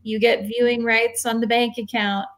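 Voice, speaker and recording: A woman's voice: fairly high pitch, level tone, normal speed, noisy background. A woman talking informally and explaining things on a panel, with an earnest, advising manner. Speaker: real estate investor on a panel.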